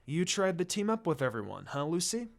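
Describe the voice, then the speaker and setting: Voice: mid-range voice, sing-song, a little fast, gravelly. A narrator voicing a stern, serious male ninja teacher who lectures, in a story reading. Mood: curious.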